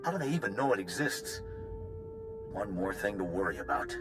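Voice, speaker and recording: A man voicing an urgent leader organizing an evacuation in a sci-fi game, with a brisk, commanding manner. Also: lowish voice, very animated, over loud music. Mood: worried. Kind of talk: scripted game dialogue.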